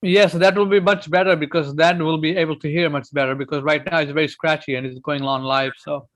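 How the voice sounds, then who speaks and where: A man with a slightly high voice speaks directly and patiently, walking someone through a tech problem on a video call. His talk is casual and plain. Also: steady tone, fairly quick. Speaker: meeting co-host. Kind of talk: casual chat.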